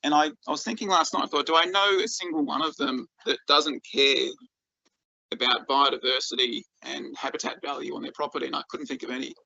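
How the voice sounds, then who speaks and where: A man's voice: fairly high pitch, fairly even intonation, brisk, creaky, sharp tone, no room sound, dull audio. A man with an Australian accent giving a conference talk as a researcher, enthusiastic and full of ums and repeated words. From Australia, rural northeast Victoria.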